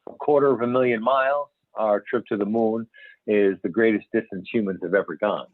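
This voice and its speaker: Guy reading a part as earnest ufo expert scientist, lowish voice, emphatic but halting, tinny phone line. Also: steady tone, close to an everyday voice. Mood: explanatory, emphatic. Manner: explanatory, emphatic, halting over words. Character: male expert scientist investigating UFO reports, earnest and assured. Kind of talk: amateur script reading with stumbles. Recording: tinny phone-like conference call, echoey room.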